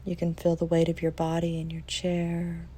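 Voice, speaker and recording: Female meditation guide: mellow, relaxed, slightly low voice, gentle and soothing delivery with unhurried pauses. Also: husky. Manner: soft, soothing, with long pauses.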